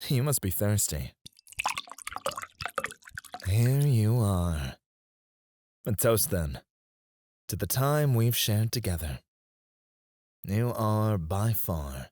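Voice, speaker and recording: A suave, controlling chief executive played by a male voice actor in an intimate ASMR roleplay. His deep voice moves expressively up and down, measured and gracious on the surface with possessiveness underneath. The sound is close and dry. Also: animated, dry recording. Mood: suave, coaxing. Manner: measured, suave, soft chuckles and sighs. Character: smooth, possessive male CEO, outwardly gracious, quietly controlling.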